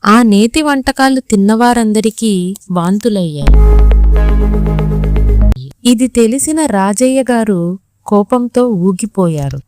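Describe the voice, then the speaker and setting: A woman's voice: mid-range voice, lively intonation, takes it slowly, pauses often, a little mellow, soft background music. A woman narrating a scripted moral story for children, as a storyteller.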